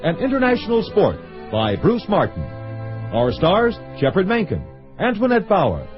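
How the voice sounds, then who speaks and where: A male radio announcer with a high-pitched, extremely animated delivery. He reads promotional copy in a showy broadcast style. The sound is lo-fi and muffled. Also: a little slow, faint music behind.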